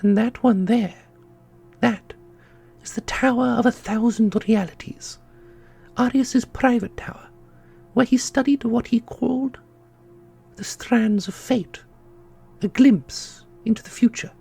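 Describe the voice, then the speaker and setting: A man voicing a solemn, knowing keeper of an ancient magical order in a fantasy audio roleplay, speaking in a formal, measured way. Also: very high-pitched, gravelly, a little mellow, slightly rough, exaggerated voice. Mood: solemn, wistful. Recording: music under the voice.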